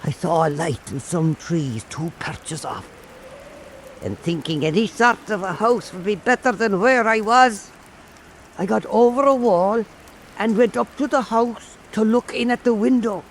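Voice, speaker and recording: A man playing an old island storyteller, telling eerie folk tales in a deliberate, pausing way. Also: high voice, a little hiss or hum, pitched above the performer's own voice, low-quality recording. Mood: hushed, suspenseful. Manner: slow, deliberate oral storytelling with pauses. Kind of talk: dramatic folk-tale narration.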